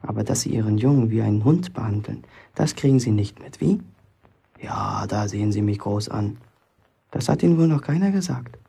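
Radio drama: boastful, grumbling teen apprentice, rough and creaky voice, self-important. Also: male voice, fairly low pitch, lively intonation, normal speed, dark tone, heavily acted. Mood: indignant, grumbling. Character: cocky, grumbling fifteen-year-old apprentice bricklayer boy. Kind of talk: German radio drama acting.

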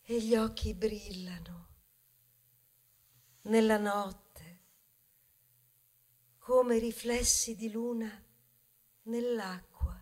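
An actress playing a proud, tender female corn cob in a poetic theatrical monologue, savouring her words with dramatic pauses. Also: a little high, steady tone, a little mellow. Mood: dreamy. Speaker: stage actress. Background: music.

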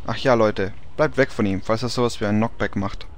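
A man's voice: on the low side, fairly even intonation, brisk, lightly acted. A man playing a laid-back raid leader in a parody audio play, giving orders in colloquial gamer talk. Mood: casual, planning. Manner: matter-of-fact, colloquial.

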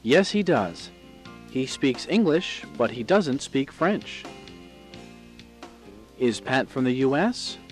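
American male lesson presenter, very measured pace, lively intonation, scripted instructional read. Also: medium pitch, over loud music. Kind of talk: scripted instructional question drill.

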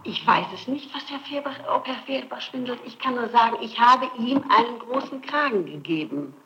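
A middle-aged woman answering questions in a recorded interrogation, insisting and denying in halting, repetitive phrases. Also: fairly high pitch, animated, pushed and bright, highs cut off. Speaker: suspect under interrogation. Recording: noisy 1960s archival tape.

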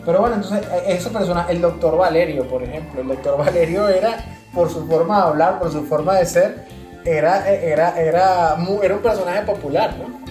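A woman's voice: lowish voice, a little fast, warm tone, echoey room, faint music behind. A woman with a Venezuelan accent chatting casually as a podcast co-host, explaining things through examples.

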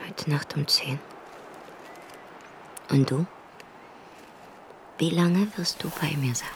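A feverish, despairing wife. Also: female voice, low-pitched, slow pace. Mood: pleading. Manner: hushed, breathy whispers. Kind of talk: radio drama acting. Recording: noisy old radio recording.

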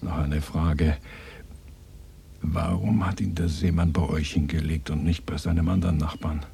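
An actor in a radio drama playing a bitter, probing former resistance fighter with a sardonic, interrogating manner. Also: male voice, rumbling low, fairly even intonation, moderate pace, vocal fry, dark tone, slightly rough, full character voice. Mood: probing, wry. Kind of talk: 1980s German radio drama dialogue.

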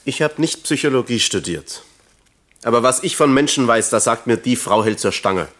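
Radio drama: evasive East German official male actor, mid-pitched, bureaucratic, measured, talks down to people. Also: expressive, fairly bright tone, lightly acted. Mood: firm, official. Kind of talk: German radio play acting.

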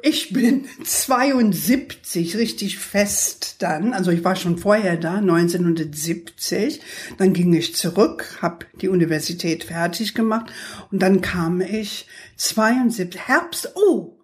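Female author guest, 60s, American accent, native English, slow conversational delivery. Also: fairly low pitch. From New York, United States. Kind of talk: conversational interview.

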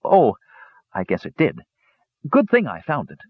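A man's voice: mid-range voice, wildly swooping pitch, measured pace, husky, no echo, pitched above the performer's own voice, exaggerated voice. A man voicing an earnest, mischievous young male kender who talks eagerly and pleads to be believed. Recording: dull dry recording.

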